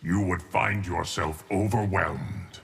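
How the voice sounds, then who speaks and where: Deep, solemn timeless guardian. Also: male voice, steady tone, gravelly, a bit bright, slight background noise, heavily acted. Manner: stately, cryptic, deliberate. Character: solemn, cryptic immortal guardian of time. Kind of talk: video game character acting.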